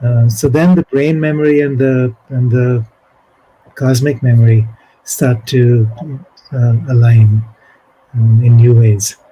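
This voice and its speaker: A man presenting at an online spiritual conference, explaining ideas in a slow, measured way over a video call. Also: mid-range voice, steady tone, highs cut off. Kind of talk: spiritual talk.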